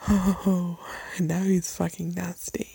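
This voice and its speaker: A woman performing an intimate audio roleplay in a breathy, pleading, teasing voice. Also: on the low side, level tone, slow pace, mellow, no echo, poor audio.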